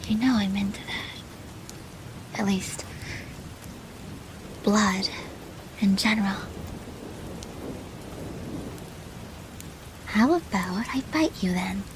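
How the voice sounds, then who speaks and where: A woman playing a doting, possessive yandere girlfriend in an intimate ASMR roleplay, teasing softly and often dropping to a whisper. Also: mid-range voice, slow, long pauses, background noise. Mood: teasing, menacing. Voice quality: soft, breathy with whispered phrases.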